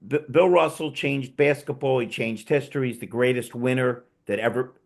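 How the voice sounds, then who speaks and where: Gravelly rambling man hosting a chat. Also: mid-range voice, normal speed. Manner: rambling, enthusiastic storyteller with restarts. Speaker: podcast host. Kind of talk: casual panel chat.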